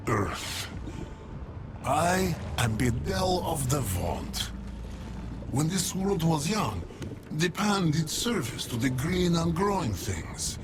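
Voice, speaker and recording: A man playing a weary, ancient nature guardian creature in a video game, speaking gravely and haltingly in a gravelly voice. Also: medium pitch. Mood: weary, musing. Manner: grave, halting, archaic phrasing. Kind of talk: video game cutscene acting. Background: loud music.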